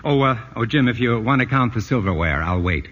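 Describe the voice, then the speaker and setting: A dry, wry family friend. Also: male voice, medium pitch, lively intonation, brisk, a bit bright. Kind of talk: 1940s radio acting. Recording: dull old radio broadcast.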